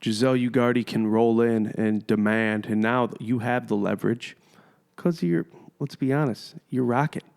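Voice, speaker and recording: A man's voice: mid-range voice, normal speed, creaky, a little mellow. A man hosting a podcast, blunt and fired-up, swearing casually as he talks. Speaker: podcast host.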